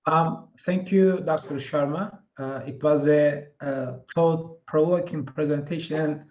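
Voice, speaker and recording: A middle-aged man with a Turkish accent gives an online conference presentation in a measured, hesitant way, with many fillers. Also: fairly high pitch, fairly even intonation, a little mellow. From Turkey. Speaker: associate professor presenting. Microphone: video call. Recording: dull, echoey video call audio.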